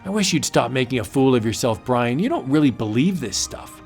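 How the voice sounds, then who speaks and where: A man's voice: medium pitch, big pitch swings, a little fast, darkish tone, faint music behind. A man playing an arrogant, bullying young colonial officer with a haughty, commanding manner, in a comic book audio drama. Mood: scornful.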